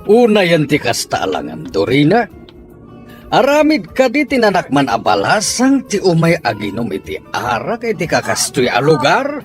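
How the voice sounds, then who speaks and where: Man playing stern, animated scolding father. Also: a little high, normal speed, soft background music. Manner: scolding, insistent. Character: stern, indignant father determined to bring his daughter home. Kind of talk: amateur audio drama acting.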